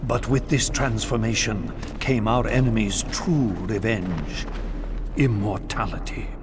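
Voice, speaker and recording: A man playing a deep, solemn vampire elder. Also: measured pace, background noise, natural voice. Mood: grave, solemn. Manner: grave, formal, portentous. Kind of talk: theatrical video game acting.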